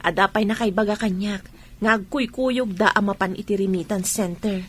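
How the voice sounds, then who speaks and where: A woman's voice: medium pitch, moderate pace, slight background noise, close to an everyday voice. A woman acting as an inquisitive, concerned friend in a radio drama, conversational and questioning. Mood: curious, concerned.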